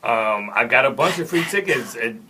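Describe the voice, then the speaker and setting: A man's voice: mid-range voice, animated, moderate pace, bright, forceful tone, far from the mic, slight background noise, rough recording. A man hosting a casual radio talk show, plugging local podcasts in loose slang. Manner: laid-back, promotional, local slang.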